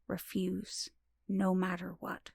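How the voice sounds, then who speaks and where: Slow, breathy mystic mother, played by a woman. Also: fairly low pitch, monotone, darkish tone, dry recording, full character voice. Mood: grave warning. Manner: slow, portentous. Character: mysterious mother, grave and urgent mystic messenger. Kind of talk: audio drama acting.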